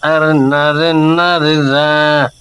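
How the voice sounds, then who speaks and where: Cartoon village drunk, very slow slurred drawl, sharp bright voice, slight noise. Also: male voice, a little high, flat delivery, clean tone. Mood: merry.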